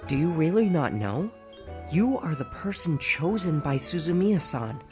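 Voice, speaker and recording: A man playing a calm, polite young man who now appears as a glowing spirit, speaking smoothly with a light teasing edge. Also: on the high side, a little slow. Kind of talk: fan audiobook acting. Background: music.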